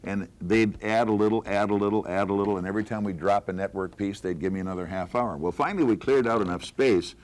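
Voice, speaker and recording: Older man, veteran broadcaster, very low-pitched, unscripted recollection of working days. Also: quick pace, hardly any pauses, a little mellow. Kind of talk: unscripted reminiscing among colleagues.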